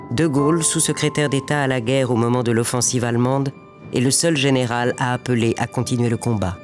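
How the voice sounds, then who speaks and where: A man in the middle pitch range narrates a history documentary in a measured, solemn manner. His delivery sounds scripted and steady. Music plays underneath him.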